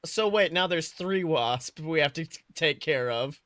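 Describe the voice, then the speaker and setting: A man co-hosting a casual movie review chat, talking in an excitable, slangy way with casual swearing. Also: fairly high pitch, measured pace, dry recording, studio quality. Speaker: podcast co-host.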